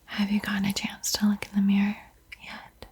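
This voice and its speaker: A woman hosting an ASMR art tutorial in a soft, breathy whisper, gentle and unhurried, with frequent pauses. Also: medium pitch, completely flat delivery. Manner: slow, gentle, pausing often.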